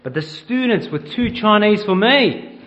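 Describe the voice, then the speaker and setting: A man's voice: sits high, animated, measured pace, dull audio. A middle-aged man with an Australian accent, preaching a conversational sermon in church and asking the congregation questions. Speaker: pastor.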